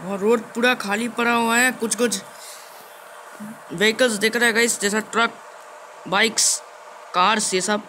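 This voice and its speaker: Very high-pitched young man, bright voice. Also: steady tone. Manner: halting, repetitive phrasing. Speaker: gaming video host. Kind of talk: casual gameplay commentary. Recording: clearly noisy game capture.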